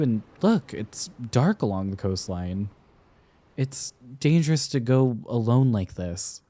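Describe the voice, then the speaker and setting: Casting: male actor as smitten young boyfriend for roleplay ASMR, mellow and unhurried, playful, tender, slightly halting. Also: medium pitch. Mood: coaxing, tender. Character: affectionate, teasing young man smitten with an old friend. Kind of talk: intimate roleplay ASMR acting.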